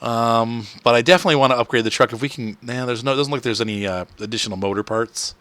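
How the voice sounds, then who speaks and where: A man in his thirties chats his way through a driving game from home, rambling with plenty of ums and soft laughs. His voice has a bright, tense edge and a faintly crackly roughness, sitting at an ordinary pitch for a man. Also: fast, no room sound. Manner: rambling, chatty, with frequent fillers and soft laughs. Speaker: gaming channel host. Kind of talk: casual live-stream gameplay commentary.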